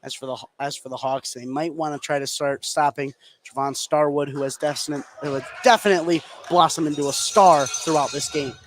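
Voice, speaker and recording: High-pitched man calling live basketball. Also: steady tone, fairly quick, few pauses. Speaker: play-by-play sports announcer. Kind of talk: live basketball radio commentary. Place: indoor high school gymnasium. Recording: clear venue noise behind the voice.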